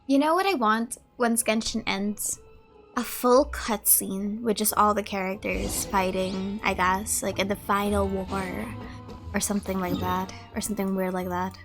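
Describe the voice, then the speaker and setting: A woman's voice: mid-range voice, fairly even intonation, unhurried, faint music behind. A woman doing casual gameplay commentary, rambling and reacting in loose slang. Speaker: streamer playing and reacting to a game.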